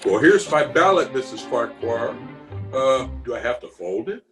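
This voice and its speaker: A man's voice: mid-range voice, sing-song, lightly acted. A man playing a blustery, genial middle-aged small-town uncle in a pompous, theatrical 1940s radio comedy style. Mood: smug. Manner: pompous, theatrical, with drawn-out hesitations and chuckles. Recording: music behind the voice, remote home recording.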